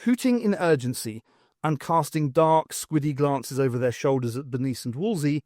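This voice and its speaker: A man's voice: medium pitch, darkish tone, dry recording, studio quality. A man narrating a fiction audiobook in a scripted storytelling style.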